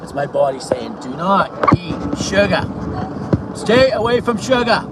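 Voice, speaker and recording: A hoarse man talks in a loose, rambling way from behind the wheel of a car. He sounds a little distant from the mic, with heavy road and engine noise under his voice. His delivery stays plain and matter-of-fact. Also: high-pitched, big pitch swings, measured pace. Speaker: vlogger. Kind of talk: casual talk while driving. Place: inside a car driving through snow.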